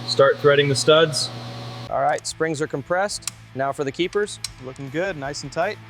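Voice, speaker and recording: A man with a slightly high-pitched voice talks through car restoration work as a mechanic. His phrases are short and procedural, one step at a time. Faint music and vehicle sounds sit behind him. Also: moderate pace, fairly bright tone. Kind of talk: short step-by-step workshop commentary.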